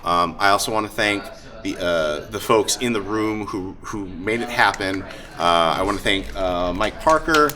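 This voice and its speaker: A man hosting a live show, talking casually and off the cuff, with enthusiasm, halting asides and frequent hesitations. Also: sits low. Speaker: host of a live-streamed tabletop roleplaying show. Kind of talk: casual off-the-cuff talk to an audience. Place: live event room at a gaming convention. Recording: live convention room with crowd noise.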